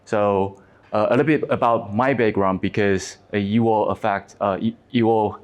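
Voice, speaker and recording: Man, Taiwanese accent, Mandarin native speaker, conference talk, halting with fillers, lowish voice. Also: steady tone. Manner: halting, frequent fillers and restarts. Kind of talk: conference presentation.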